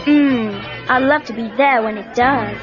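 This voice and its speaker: Woman as high-voiced, frank American teenage girl. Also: a little slow, natural voice. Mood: friendly, confiding. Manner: casual, direct. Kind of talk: audio story dramatization. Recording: dull cassette, music and crowd behind.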